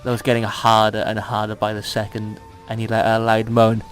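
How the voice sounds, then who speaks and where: A man's voice: on the low side, monotone, fairly quick, clean tone, soft background music. A man casually bantering and reading fan fiction aloud in a mock-dramatic, teasing and sweary way.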